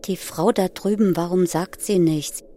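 An actress narrating a radio play as a terse, brooding inner voice. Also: female voice, lowish voice, fairly even intonation, unhurried, dark tone, a little hiss or hum, lightly acted.

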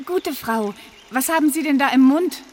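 An actress playing a resourceful, cheeky heroine in a children's radio play, with a brisk, lecturing, persuasive manner. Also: female voice, sits high, noisy background, close to an everyday voice. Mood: scolding.

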